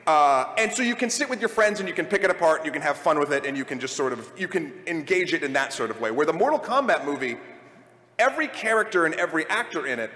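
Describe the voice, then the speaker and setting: A man's voice: on the high side, animated, fast, pushed and bright. Rambling man in an echoey convention hall. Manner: rambling, self-correcting, jokey. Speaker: podcast host on a live panel. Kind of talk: casual panel talk before a live audience. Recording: echoey hall with long reverb.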